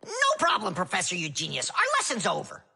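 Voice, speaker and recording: A woman voicing a reassuring schoolteacher in an animated children's cartoon. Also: fairly low pitch, quick pace, gravelly, breathy edge, full character voice. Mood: reassuring, firm. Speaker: teacher.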